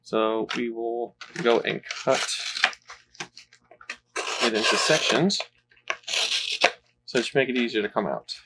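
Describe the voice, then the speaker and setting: Relaxed man talking through a toy unboxing. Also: mid-range voice, takes it slowly, long pauses, poor audio. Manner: relaxed, rambling, with fillers. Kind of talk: casual unboxing commentary.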